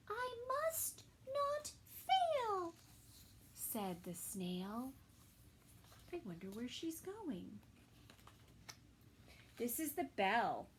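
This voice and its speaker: A woman reading a picture book aloud for toddlers at story time, dramatic and with hushed little asides. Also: fairly high pitch, a little hiss or hum. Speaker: children's story time reader.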